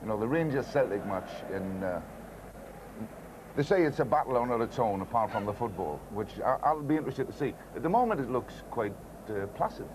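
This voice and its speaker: A man's voice: mid-range voice, very animated, brisk, noisy background. A man guesting on a football broadcast, chatting casually before the match, hesitant and full of restarts. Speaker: studio pundit guest. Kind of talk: casual pre-match chat.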